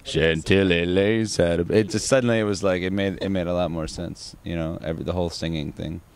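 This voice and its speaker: A man's voice: deep voice, fairly even intonation, a little fast, darkish tone. A man, singer of a rock band, answering interview questions in a rambling, casual way with many restarts and fillers.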